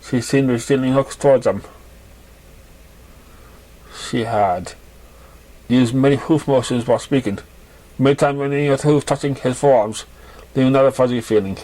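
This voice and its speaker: A man's voice: mid-range voice, moderate pace, long pauses, mellow, rough recording. A man reading a fan fiction story aloud as an amateur narrator, stumbling over words and rereading lines. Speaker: amateur audiobook reader.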